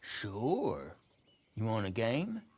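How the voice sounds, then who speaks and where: An actor playing a pushy, needling card player in an Old West video game. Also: male voice, fairly low pitch, huge pitch swings, extremely slow, darkish tone, a little hiss or hum, exaggerated voice.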